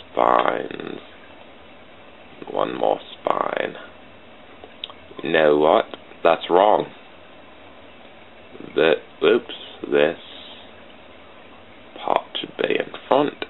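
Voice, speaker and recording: A man's voice: rumbling low, animated, extremely slow, vocal fry, long pauses. A man with a British accent giving rambling, self-deprecating commentary to himself while drawing on a computer, recorded at a home desk on a cheap computer mic. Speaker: channel host drawing sprites. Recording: tinny phone-like lo-fi mic with faint hiss.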